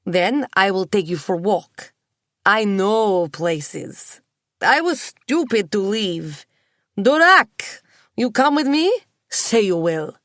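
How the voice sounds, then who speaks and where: A woman voicing an exuberant, tipsy Russian man with a put-on Russian accent, in a loud, drawn-out, swooping way. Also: mid-range voice, animated, no room sound, clean studio sound. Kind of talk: audiobook character voice.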